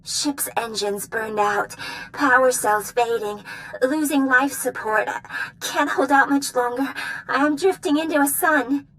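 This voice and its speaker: A woman's voice: high-pitched, measured pace, fairly bright tone, slight background noise, poor audio. A woman playing a flirty, deceitful, lovesick starship captain in a video game, with a coy, sugary manner. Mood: urgent pleading. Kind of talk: video game cutscene acting.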